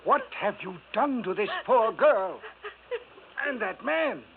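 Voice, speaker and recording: An actor playing an indignant man who confronts the detective with shocked, slow, accusing questions in a 1950s radio drama. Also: sits high, lively intonation, gravelly, heavily acted. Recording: tinny radio broadcast with hiss, off-mic.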